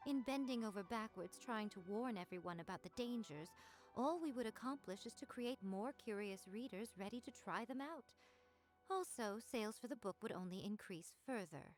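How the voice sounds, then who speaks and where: A woman's voice: on the high side, animated, moderate pace, natural voice. A woman voicing a poised, sly, teasing female boss in a video game, composed and articulate. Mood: measured. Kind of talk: video game character acting. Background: game music.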